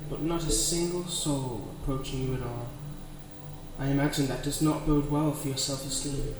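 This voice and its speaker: A man voicing a possessive, seductive demon who speaks in measured, formal, condescending phrases in an ASMR roleplay. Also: mid-range voice, natural voice. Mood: pitying, teasing. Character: possessive, seductive male demon hungry for hearts. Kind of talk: scripted ASMR roleplay addressed to the listener. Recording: very echoey, distant, music behind.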